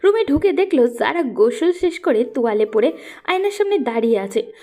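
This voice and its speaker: A woman's voice: sits high, sing-song, fairly quick, dark tone. A woman narrating a scripted romantic story as the storyteller.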